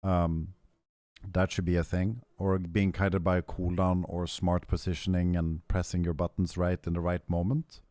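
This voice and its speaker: A young man with a deep, low voice talks to his stream viewers in a loose, rambling way. His throat is rough and hoarse, and he keeps clearing it. The sound is dry and close. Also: flat delivery, normal speed, warm tone, dry recording. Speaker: game streamer. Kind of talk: casual stream chat, answering chat.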